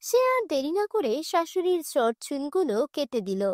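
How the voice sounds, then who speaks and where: A woman storyteller with a very high, youthful-pitched voice narrates a scripted cartoon folk tale. The recording is dry and close, with no room sound. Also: very animated, normal speed, no room sound.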